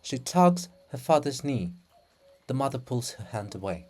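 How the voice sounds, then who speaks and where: A person giving a dramatic reading of a play in a theatrical, deliberate manner, phrase by phrase. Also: mid-range voice, big pitch swings, darkish tone. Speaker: reader of a play.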